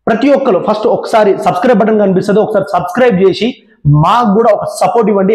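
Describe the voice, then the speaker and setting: A man's voice: high voice, warm tone. A man presenting a public awareness talk, giving earnest, repeated warnings straight to viewers. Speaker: channel presenter. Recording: roomy echo.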